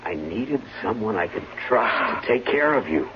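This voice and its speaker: A man's voice: fairly low pitch, big pitch swings, normal speed. A man playing a deceitful, pleading husband in a 1970s radio drama, earnest and protesting. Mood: defensive, reluctant. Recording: muffled, noisy 1970s radio broadcast.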